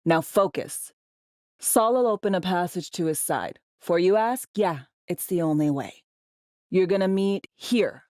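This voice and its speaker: A tough, sardonic spy handler from a cyberpunk video game. Her voice sits fairly low in a very dry studio sound. She talks in clipped slang, bossy and teasing, like someone used to running operations. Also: animated, a little slow, darkish tone, dry recording. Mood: brisk, teasing. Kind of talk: video game voice acting, briefings and radio guidance.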